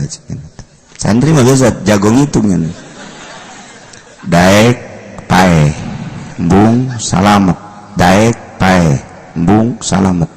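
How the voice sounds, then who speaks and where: A man with a Sundanese accent, telling a comic folk tale in a sermon, drawing out his words and jumping between soft asides and loud bursts. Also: lowish voice, creaky. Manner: slow, drawn-out comic storytelling with sudden loud bursts and mock voices. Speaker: Islamic preacher. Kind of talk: humorous storytelling sermon.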